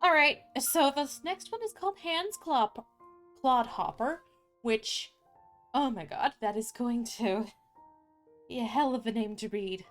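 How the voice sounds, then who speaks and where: A woman streamer reading fairy tales aloud, moving between casual, candid chat and expressive storybook narration. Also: on the high side, a little slow, soft background music. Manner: expressive, informal, candid asides.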